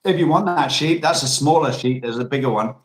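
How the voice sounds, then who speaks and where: A man's voice: medium pitch, fairly quick. Rambling Australian man chatting. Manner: rambling, self-correcting storyteller. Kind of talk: casual chat.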